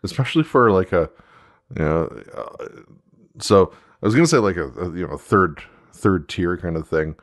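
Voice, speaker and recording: A man in a casual fan discussion as a podcast host, halting and circling back as he explains. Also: sits low, animated.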